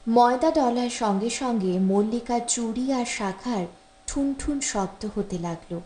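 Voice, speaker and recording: A woman narrates a literary short story. Her voice sits on the high side, and the recording is very roomy, with clear echo around her expressive reading. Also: a little slow, slight background noise. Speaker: audiobook narrator.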